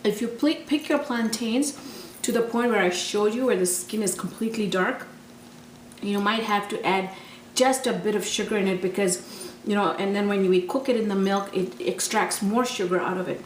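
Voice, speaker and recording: Female home cook from East Africa, mid-pitched, casual and enthusiastic demo delivery, roomy sound with faint noise. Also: normal speed. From Tanzania, East Africa. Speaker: home cooking show host. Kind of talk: casual cooking demonstration. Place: indoor kitchen. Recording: echoey room with faint background noise.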